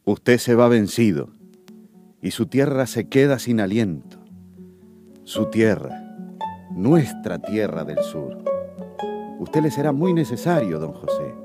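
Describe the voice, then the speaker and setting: An actor playing a mournful orator, reciting a solemn, declamatory elegy in a radio drama. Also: male voice, fairly low pitch, very animated, slow pace, long pauses. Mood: mournful, reverent. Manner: elegiac, declamatory. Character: solemn, mournful poetic orator honoring an exiled general. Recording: loud guitar music behind the voice.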